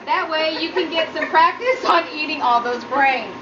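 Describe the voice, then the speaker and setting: A woman giving a humorous prepared speech as a student, with a nervous, giggly delivery. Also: moderate pace. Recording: muffled low-quality audio, noticeable background noise.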